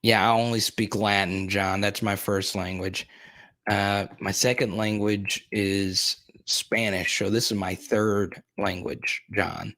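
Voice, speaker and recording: A man's voice: fairly low pitch, flat delivery, slow, bright, forceful tone. A young man hosting a sports live stream, rambling casually while reading and answering viewers' chat comments in his plain, everyday voice.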